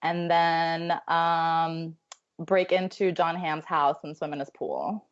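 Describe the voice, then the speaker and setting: A woman's voice: low voice, takes it slowly, muffled recording. A woman from Russia, a dating-show contestant on a video call from home, talking in an easygoing, frank way. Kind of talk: casual chat. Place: home in a city.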